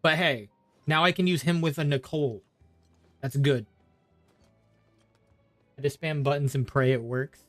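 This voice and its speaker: A man's voice: fairly high pitch, fairly even intonation, measured pace, pauses often, no echo, soft background music. A man chatting casually on a game livestream, rambling and thinking aloud as he plays. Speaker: game streamer. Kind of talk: casual livestream chat while gaming.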